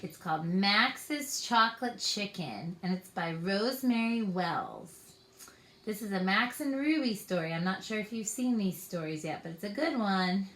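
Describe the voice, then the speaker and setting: A woman's voice: mid-range voice, expressive, unhurried, a bit bright. A woman reading a story aloud expressively, as if to young children. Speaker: storytime reader. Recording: roomy echo.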